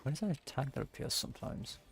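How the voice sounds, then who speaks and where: A man's voice: quick pace, faint music behind. A man giving casual gameplay commentary, musing aloud to himself in short reactions. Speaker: gamer playing through a story quest. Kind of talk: casual game playthrough commentary.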